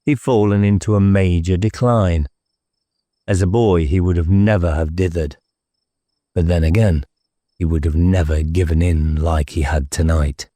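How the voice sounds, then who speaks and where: A male audiobook narrator reads horror fiction deliberately and with suspense. His voice is deep, soft and dark in tone. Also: fairly even intonation, no room sound, clean studio sound. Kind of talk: scripted dramatic horror narration.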